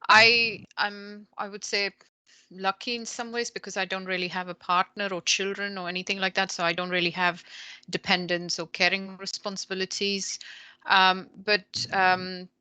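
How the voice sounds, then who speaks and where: A woman speaking as a panelist, tentative and halting, with many ums and restarts. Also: mid-range voice, little pitch movement, sharp tone, smooth, no echo. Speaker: panelist, academic. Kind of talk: panel discussion on video call.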